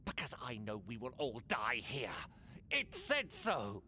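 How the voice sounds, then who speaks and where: A man's voice: mid-range voice, wildly swooping pitch, a little slow, bright, forceful tone, heavily acted. A man voicing a captured cultist creature in a video game, fearful yet fanatical, with a hissing, whispery delivery. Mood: panicked dread. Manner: fanatical, dramatic.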